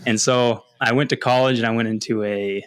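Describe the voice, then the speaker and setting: A man's voice: medium pitch, steady tone, fairly quick, smooth. A Canadian man from Ontario talking casually and with animation about himself as a guest, in a self-deprecating way. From London, Ontario, Canada. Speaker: podcast guest, wildlife photographer and content creator. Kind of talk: casual personal storytelling.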